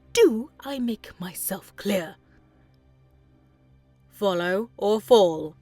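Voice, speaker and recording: Cruel female alien archon, played by an actress, slow, breathy, imperious, possessive, audio drama, music behind. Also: mid-range voice. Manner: slow, threatening, commanding. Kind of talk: scripted audio drama acting.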